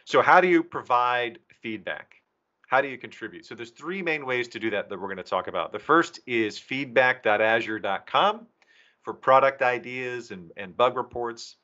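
Guy doing a tech presentation on a video call, crisp bright tone, bit lo-fi. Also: medium pitch, normal speed. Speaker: presenter, documentation team member. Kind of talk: online technical presentation to a user group. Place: indoors, remote video call. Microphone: video call microphone. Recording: slightly dull video-call audio.

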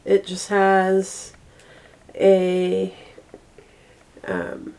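Slow-paced young woman with a cold. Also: medium pitch, monotone, vocal fry, a little mellow. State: congested with a cold. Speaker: vlogger. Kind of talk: casual monthly favorites vlog. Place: small bedroom, indoors.

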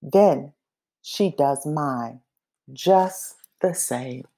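A woman reads a children's story aloud in a fairly low voice. She takes it very slowly, pausing between phrases. Her delivery is expressive, made for young listeners. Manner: expressive, acts out dialogue and pauses between phrases. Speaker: storybook reader. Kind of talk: children's picture book read-aloud.

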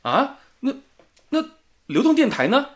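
An actor in a radio drama playing a fiery, emphatic patriotic radio worker in wartime. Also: male voice, extremely high pitch, sing-song, a little slow, exaggerated voice. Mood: startled. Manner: emphatic, indignant.

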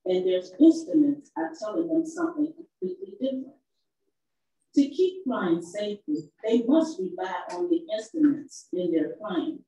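A woman minister giving a measured, emphatic devotional reading in a church sanctuary. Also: mid-range voice, dark tone. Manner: measured, emphatic pulpit delivery. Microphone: far camera. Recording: echoey church from far off.

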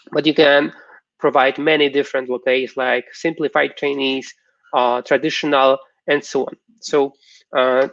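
A young man, a software developer, giving an informal technical presentation online in a halting, explanatory way. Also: mid-range voice, dry recording. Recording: dull, lo-fi online stream audio.

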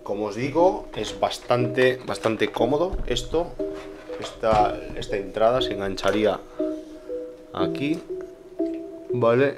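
A man with an accent from Spain narrating a casual unboxing review in an informal way, pausing and repeating himself. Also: lowish voice. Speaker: video reviewer. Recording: music behind the voice. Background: background music.

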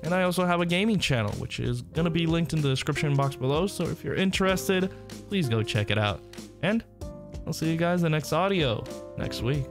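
A man's voice: on the high side, lively intonation, brisk, music in the background. A man hosting his audio channel, chatting casually and emphatically, often repeating words. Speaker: audio roleplay creator and host.

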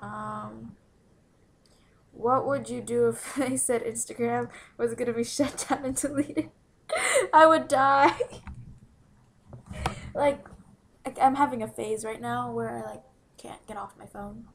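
Giggly young woman with a bright voice. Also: on the high side, slow pace, long pauses. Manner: giggly, bubbly, often drops to a whisper. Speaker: vlogger answering follower questions. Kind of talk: casual Q&A vlog. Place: small room indoors by a window. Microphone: webcam from below at close range.

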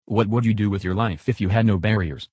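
An earnest chatbot reenacted by a man speaks in a lowish, husky voice. It gives short, philosophical, matter-of-fact answers as a podcast guest, in a dry recording. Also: brisk, darkish tone, no room sound. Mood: earnest, measured. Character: earnest, philosophical male chatbot being interviewed.

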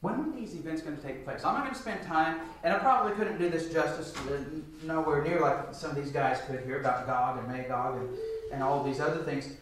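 A middle-aged man with a fairly high voice delivers a Bible lecture in a very echoey church meeting room. He sounds distant from the microphone and likes to put questions to his listeners and answer them himself. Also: a little fast, a little hiss or hum. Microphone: picked up from a distance.

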